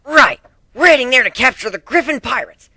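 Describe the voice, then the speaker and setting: A man voicing a brash, boastful young female pegasus, loud and punchy, in a cartoon fan story reading. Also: very high-pitched, lively intonation, brisk, no echo, studio quality, voice pushed up, exaggerated voice. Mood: boastful, excited.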